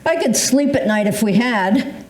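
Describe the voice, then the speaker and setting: A woman's voice: a little high, lively intonation, a little slow, darkish tone. An older woman in an amateur staged reading, playing a dramatic senior convinced of conspiracies, with a theatrical, emphatic delivery. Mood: wistful, wry. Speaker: amateur readers theatre performer. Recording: echoey room recording.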